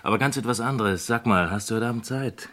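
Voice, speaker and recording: A man's voice: lowish voice, quick pace, pushed and bright. Edgy, slick male smuggler. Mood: brisk, evasive. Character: shady watch smuggler, male, in money trouble, slick but edgy. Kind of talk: German radio crime play acting.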